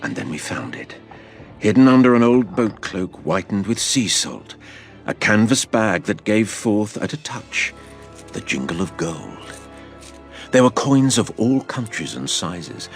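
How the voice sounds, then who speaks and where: Man as a very deep-voiced, grave storyteller. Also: big pitch swings, creaky, faint music behind, exaggerated voice. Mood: grave, suspenseful. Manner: measured, literary storytelling. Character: grown man gravely recounting his boyhood pirate adventure. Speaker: narrator. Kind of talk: scripted dramatic narration.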